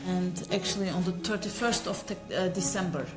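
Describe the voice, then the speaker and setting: A woman's voice: on the low side, moderate pace, echoey room, over music. A woman giving archive facts carefully, correcting herself as she speaks.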